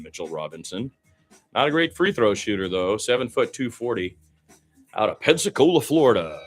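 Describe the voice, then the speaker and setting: Low-voiced man calling basketball play-by-play. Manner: narrates action in short clipped phrases, rising excitedly on big plays. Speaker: radio play-by-play commentator. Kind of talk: live basketball play-by-play commentary.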